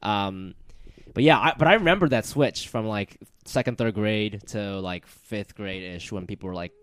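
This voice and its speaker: A man's voice: on the low side, normal speed, clear, resonant tone. A man born in Japan, a guest in a casual chat, talking in a laid-back, rambling way with lots of fillers.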